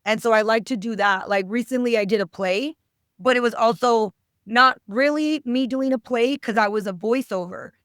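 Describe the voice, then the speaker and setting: A woman in her thirties with a fairly high voice, chatting informally as an interview guest. She talks in short, chatty bursts and slips into quoted remarks. The sound is very dry. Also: moderate pace, fairly bright tone, smooth, no echo. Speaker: actor guest. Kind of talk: casual interview chat.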